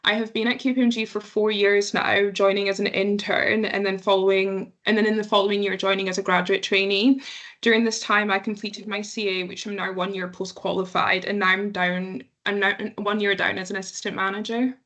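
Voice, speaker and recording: Woman panelist on a call, level and matter-of-fact, little pitch movement. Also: little pitch movement, a little fast, a bit bright. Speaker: panelist, assistant manager. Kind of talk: panel introduction and answers. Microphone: video call.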